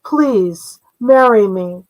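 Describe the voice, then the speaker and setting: Woman reading as a slow, earnest rescued princess. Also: mid-range voice, lively intonation, darkish tone. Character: rescued young princess, candid and resigned, scarred.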